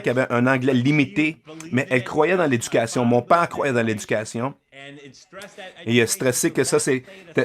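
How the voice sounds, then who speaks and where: Hesitant man giving a keynote. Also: medium pitch. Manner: halting, many filler sounds and restarts. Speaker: keynote speaker. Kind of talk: live interpreted keynote speech.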